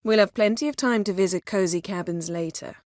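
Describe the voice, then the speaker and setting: A woman playing a proud, sardonic, commanding sorceress in a video game, speaking in dry, measured, formal phrases. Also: mid-range voice, a little mellow, no room sound, close to an everyday voice. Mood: composed, matter-of-fact.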